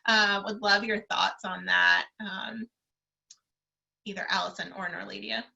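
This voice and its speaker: A woman's voice: medium pitch, steady tone, measured pace, vocal fry, bright, forceful tone, lo-fi sound. A woman career coach moderating a video call panel, encouraging and full of fillers.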